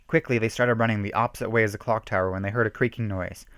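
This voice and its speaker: A man's voice: fairly low pitch, fairly even intonation, fast, a bit bright, clear, resonant tone, no echo, clean studio sound. A man giving an emphatic, theatrical reading of a horror story, stumbling now and then. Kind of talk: dramatic reading of a self-written horror story.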